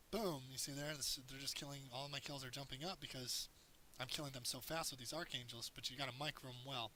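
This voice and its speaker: A man with a hoarse voice, casually talking through a strategy game as he plays it, explaining tips in a loose, rambling way. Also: mid-range voice, sharp tone, slight background noise, low-quality recording. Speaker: gameplay commentator. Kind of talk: casual game tutorial commentary.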